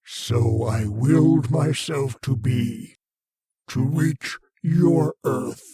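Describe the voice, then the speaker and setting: An actor playing an ancient, arrogant alien mind in an old-time radio horror play, rasping and declaiming with pompous contempt. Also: male voice, mid-range voice, very slow, dark tone, no room sound, clean studio sound, heavily acted. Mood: cold command. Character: ancient arrogant alien mind, a menacing meteor-borne invader.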